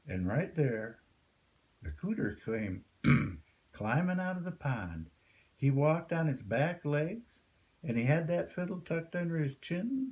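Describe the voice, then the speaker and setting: An older man reading a folktale aloud as a storyteller. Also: mid-range voice.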